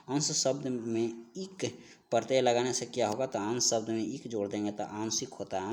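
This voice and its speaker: A man's voice: medium pitch, a little mellow, slight background noise. A man giving an instructional exam-prep lesson, reading out questions and repeating the answers for emphasis in a didactic way.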